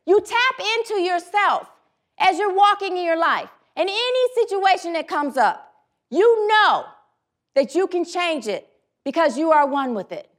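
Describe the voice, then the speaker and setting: A woman with a high voice delivers a sermon in a chatty, loosely rambling way. She drifts into side remarks and then leads the room in call-and-response affirmations. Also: animated, a little slow. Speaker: preacher.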